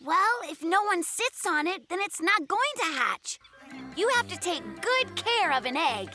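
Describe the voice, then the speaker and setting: A small, friendly bear cub in a children's cartoon. The voice is very high and unhurried, patient and encouraging as it explains. Loud children's music runs underneath. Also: sing-song, full character voice. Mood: curious, reasoning. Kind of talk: children's animated cartoon acting.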